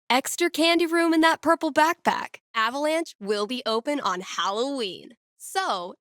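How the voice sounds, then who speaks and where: A woman's voice: mid-range voice, fairly bright tone, dry recording, studio quality. A woman playing a witty young small-town radio DJ in a video game, with an American accent and a playful, conversational delivery. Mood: wry, teasing. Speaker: radio host. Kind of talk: casual local radio announcements, video game voice acting.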